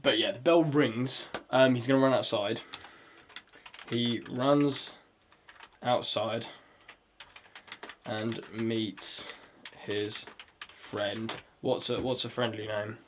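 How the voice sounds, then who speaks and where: Man, mid pitch, casual rambling talk, swears a lot, faint keyboard clicks behind. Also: steady tone, takes it slowly, a little hiss or hum. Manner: rambling, swears often, searches for words aloud. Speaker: vlogger writing a script. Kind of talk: casual talk while writing.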